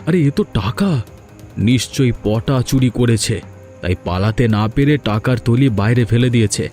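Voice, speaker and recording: A man's voice: mid-range voice, lively intonation, moderate pace, mellow, soft background music, lightly acted. A man voicing an earnest honest father. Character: honest middle-aged father, earnest guard. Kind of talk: Bengali cartoon dubbing.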